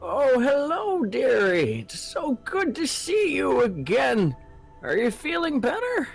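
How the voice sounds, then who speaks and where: A woman puts on a sweet older lady, high and swooping. She fusses slowly and dotingly over her listener in a live script reading, with a creaky edge and music underneath. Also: huge pitch swings, heavily acted.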